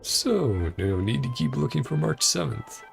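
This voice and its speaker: A male game streamer talks in a casual, rambling way as he reads along and comments. His voice is lively in pitch with plenty of fry, and faint game music plays behind him. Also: a little slow. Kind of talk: casual livestream commentary, reading game dialogue aloud.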